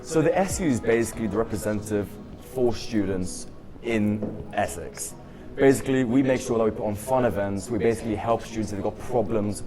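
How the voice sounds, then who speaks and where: A young man in his twenties with a lowish voice gives upbeat, chatty answers in a casual interview, peppering them with "I mean" and "um". Clear background music plays behind him. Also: a little fast. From United Kingdom. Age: 20s. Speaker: students' union officer.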